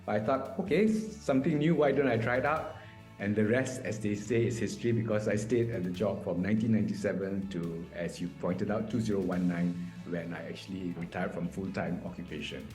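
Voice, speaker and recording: Guy in his 50s explaining stuff in an interview, lowish voice, roomy video call sound. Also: brisk, a little mellow, soft background music. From Malaysia. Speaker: interview guest, mediator. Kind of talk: conversational interview answers. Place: small indoor room. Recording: echoey room on video call.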